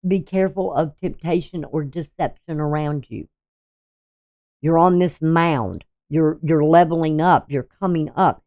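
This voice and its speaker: A sixty-something astrologer talks through the day's chart in a loose, meandering way, trailing off and restarting often. The voice sits at a middling pitch. The recording sounds dull and lo-fi. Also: a little slow. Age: 60s. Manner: rambling, hesitant, with frequent ums and restarts. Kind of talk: casual daily astrology reading over a chart.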